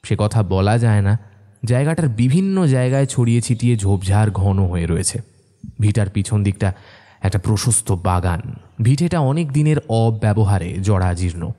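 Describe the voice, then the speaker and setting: Mellow male horror story narrator. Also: lowish voice. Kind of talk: scripted dramatic narration. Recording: soft music bed behind narration.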